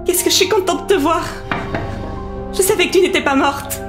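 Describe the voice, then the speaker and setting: A high, emotional young woman's voice in an audio drama, played by an actress. She sounds fragile and earnest. The space is roomy, with music behind. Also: normal speed. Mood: overjoyed. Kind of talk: French audio drama acting.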